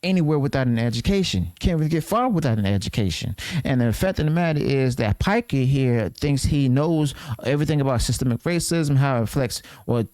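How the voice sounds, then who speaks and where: A man's voice: medium pitch, expressive, a little fast, hardly any pauses, darkish tone, dry recording. A man giving casual reaction commentary, leaning on "okay" and "and stuff" as fillers.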